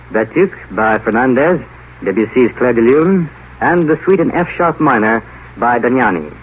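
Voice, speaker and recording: A man's voice: medium pitch, slight background noise. American guy announcing on 50s radio, lots of up and down, tinny, faint music. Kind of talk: scripted 1950s radio announcing. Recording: tinny 1950 radio broadcast.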